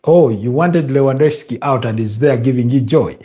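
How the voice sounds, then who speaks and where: A man's voice: medium pitch, normal speed, dark tone. A man with a Ugandan accent hosting a live football watch-along, chatting casually and reading viewer comments with lots of filler sounds. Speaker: livestream host.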